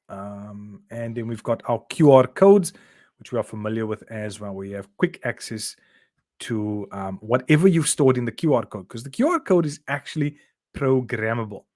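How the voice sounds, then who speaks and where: A man's voice: mid-range voice, a little mellow, no echo, studio quality. A middle-aged South African man teaching a revision lesson, explaining step by step and checking in with "okay?" and "right?". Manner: uses fillers and repeats words while explaining.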